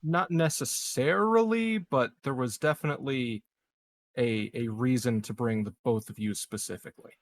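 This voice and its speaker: A man's voice: mid-range voice, moderate pace. A man chatting casually as a player in a tabletop roleplaying game.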